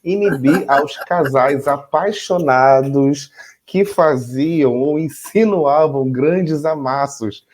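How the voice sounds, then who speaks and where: A man's voice: fairly high pitch, slow pace, a little mellow. A man with a Brazilian Portuguese accent co-hosting a casual chat, playful and laughing easily with his partner. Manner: playful, laughs often, addresses "gente". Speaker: co-host. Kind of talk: casual chat with partly read script.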